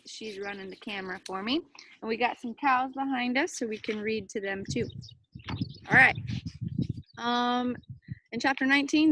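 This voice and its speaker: A woman reading a children's story aloud, with expressive dramatic emphasis and brief explanatory asides. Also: medium pitch, vocal fry, rough recording. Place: outdoors near cows. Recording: faint background noise.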